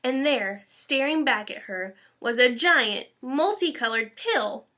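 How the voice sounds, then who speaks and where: A woman's voice: high-pitched, animated, takes it slowly, pushed and bright. A woman teacher reading a children's picture book aloud with expression.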